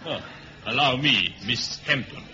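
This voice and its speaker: A gallant foreign pilot speaks in formal, non-native phrasing with a strikingly sharp, animated voice. It is 1970s radio drama with faint noise behind. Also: male voice, medium pitch, a little slow, gravelly, full character voice. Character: courteous foreign pilot and driver, gallant, brisk. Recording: muffled old radio recording, faint noise.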